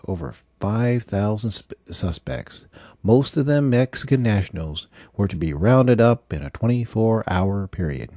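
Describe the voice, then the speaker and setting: Mellow-voiced man narrating a story. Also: on the low side, no echo, clean studio sound. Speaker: narrator reading fiction aloud. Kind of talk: scripted audiobook narration.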